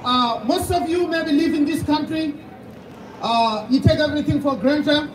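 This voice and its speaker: A man in his thirties with a Sudanese accent, a pastor giving a fervent rally speech full of rhetorical questions and sometimes shouting. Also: very high-pitched, moderate pace. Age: 30s. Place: outdoor rally. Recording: distant, echoey outdoor sound with loud background noise.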